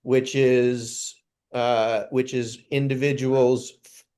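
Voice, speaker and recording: Slow, flat-voiced man from New York. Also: medium pitch, clear, resonant tone. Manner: measured storytelling with soft filler sounds. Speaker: guest introducing himself. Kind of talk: casual video call conversation.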